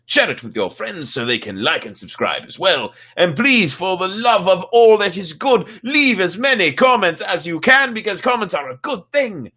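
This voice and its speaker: A man's voice: sits high, sing-song, moderate pace, hardly any pauses, pushed and bright, breathy edge. A man hosting a livestreamed story show, chatting casually to viewers in a playful, theatrical, rambling way.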